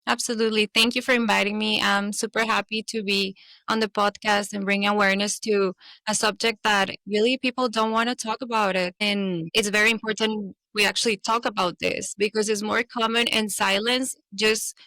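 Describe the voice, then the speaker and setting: Woman from Costa Rica, guest, bright forward voice, dry close sound, open and agreeable. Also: medium pitch, little pitch movement, moderate pace, no echo. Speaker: podcast guest. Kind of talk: personal interview.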